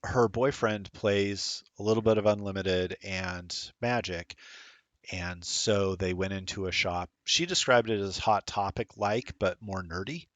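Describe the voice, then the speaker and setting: A man's voice: low-pitched, a little slow, a bit bright, dry recording. A middle-aged man rambling casually while commentating a streamed tabletop game, self-deprecating and chatty.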